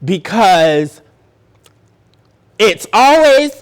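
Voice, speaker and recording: New voice, slow and deliberate, lively pitch. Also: clear, resonant tone. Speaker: reality show cast member. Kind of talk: confessional recounting.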